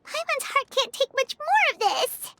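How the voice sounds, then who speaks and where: A man voicing a tiny, squeaky, fretful game companion in a chirpy, quick, questioning manner. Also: noisy background, full character voice. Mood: worried.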